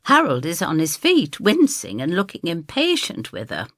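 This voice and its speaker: A woman reading a dramatic first-person story in an expressive, theatrical way. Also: fairly low pitch, moderate pace, no echo, studio quality. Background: music.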